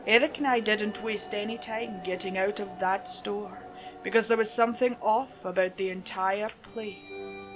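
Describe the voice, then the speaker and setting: A woman's voice: medium pitch, fairly even intonation, measured pace. A woman reading a scripted first-person creepypasta story as narrator. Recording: music behind the voice.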